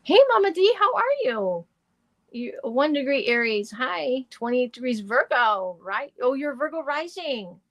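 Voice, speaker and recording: A middle-aged woman doing live astrology and tarot readings for viewers, rambling and chatty with lots of fillers, her voice slightly hoarse and deepened. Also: big pitch swings, unhurried, a bit bright, dry recording.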